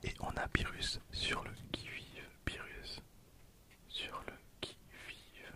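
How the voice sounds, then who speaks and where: A man in his twenties whispering for an ASMR video, soft and hesitant with frequent fillers, speaking close to a recorder. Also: takes it slowly. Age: 20s. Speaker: ASMR creator. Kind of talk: whispered ASMR card opening. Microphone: handheld recorder behind the camera.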